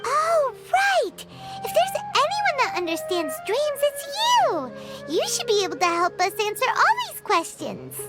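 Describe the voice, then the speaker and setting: An actress voicing a tiny, bubbly and bossy female fairy companion in a game, with a high chirpy voice. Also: wildly swooping pitch, unhurried, exaggerated voice. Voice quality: high, chirpy, speaks of herself in third person. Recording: game cutscene with loud music behind.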